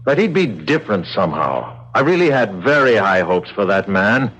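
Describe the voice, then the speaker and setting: An actor playing a weary, rueful male prison boss with a soft streak, speaking in a measured, wistful way in an old-time radio drama. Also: lowish voice, big pitch swings, slightly rough, heavily acted. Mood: rueful, defensive. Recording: dull old radio recording.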